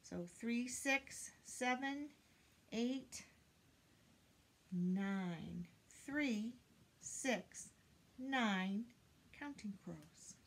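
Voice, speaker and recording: An older woman reads a picture book aloud to young children, counting in a deliberate singsong and prompting them with questions. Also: a little high, animated. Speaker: children's teacher reading aloud. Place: indoors, small room lined with bookshelves.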